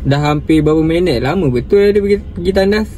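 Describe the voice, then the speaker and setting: A man's voice: on the high side, quick pace, warm tone, a little hiss or hum. A man in his twenties with a Malaysian accent, chatting casually and pressing with questions inside a car. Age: 20s. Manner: insistent, questioning. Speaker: prank caller. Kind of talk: casual phone chat.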